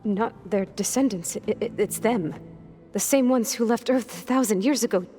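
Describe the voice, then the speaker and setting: A woman acting a fragile, bookish young cloned woman in a video game, with halting, clinical, precise wording. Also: on the high side, mellow, faint music behind, lightly acted. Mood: earnest, uneasy.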